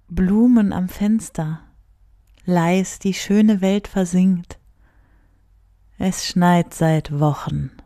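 Mellow, very slow woman reading poetry. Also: lowish voice, steady tone, no echo, studio quality.

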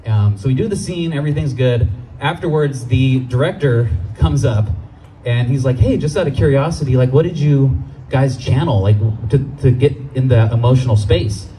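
A young man from Los Angeles performing a stand-up comedy set on an outdoor stage with a handheld mic, animated and jumping into high voices for the people he quotes. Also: normal speed, a little hiss or hum, poor audio.

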